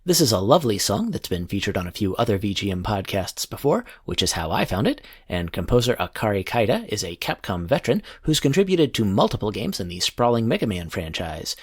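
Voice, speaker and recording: A man hosting a music podcast, reading scripted introductions in a measured announcer-like manner. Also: lowish voice, very animated, breathy edge. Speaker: podcast host. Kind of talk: scripted music show narration.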